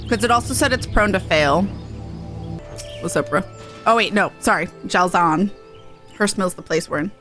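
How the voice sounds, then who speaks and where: A woman's voice: medium pitch, normal speed, long pauses, a bit bright, soft background music. A woman streaming a video game, chatting casually as she plays. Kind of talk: casual gaming chat.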